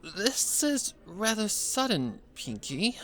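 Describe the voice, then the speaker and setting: A man voicing a refined, supportive adult pony mare, composed and warm-hearted, in a fan fiction story reading. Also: high voice, very animated, takes it slowly, voice pushed up, heavily acted. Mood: surprised.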